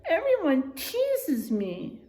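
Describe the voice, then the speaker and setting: A woman's voice: high-pitched, huge pitch swings, very slow, dark tone, pitched above the performer's own voice, exaggerated voice. A woman voicing a small, teased young male warthog, wistful and eager, in a children's picture-book reading. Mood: plaintive. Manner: plaintive, eager.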